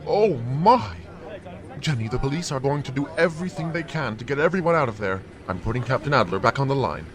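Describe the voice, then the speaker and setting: A man's voice: medium pitch, animated, fairly quick, natural voice. A man playing a concerned, fretful toy store boss in an audio drama. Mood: concerned, dismayed. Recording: noisy outdoor ambience behind.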